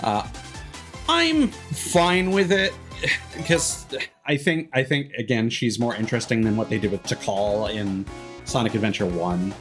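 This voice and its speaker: A man with a mid-pitched voice talks through a video game as he streams it. His commentary is casual and rambling, hesitating and wandering into asides. Faint game music plays behind him. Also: big pitch swings, measured pace, a bit bright.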